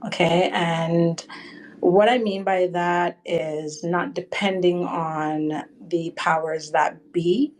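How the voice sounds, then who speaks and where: A woman's voice: lowish voice, fairly even intonation, slow pace, darkish tone, slight background noise. Chatty Canadian woman caller. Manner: chatty, rambling, keeps adding okay and right. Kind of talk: call-in discussion.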